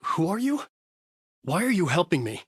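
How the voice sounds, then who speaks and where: An actor playing a brooding, haunted male writer who gravely narrates his own horror story in a husky voice for a video game. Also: high-pitched, sing-song, moderate pace, no echo, clean studio sound, exaggerated voice. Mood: agitated, frustrated.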